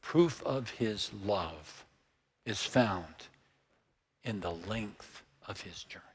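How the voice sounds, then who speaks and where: A man in his sixties with a Texan accent, preaching a sermon in a slow, measured way that sinks to hushed, near-whispered lines. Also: on the low side, expressive, slightly rough, big-room sound. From Texas. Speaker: pastor.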